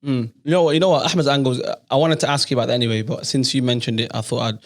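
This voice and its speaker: A man from the Netherlands hosts a casual interview podcast. His voice is of middle pitch, and he talks informally, often doubling up short phrases as he puts questions to his guest. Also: rapid-fire. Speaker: podcast host.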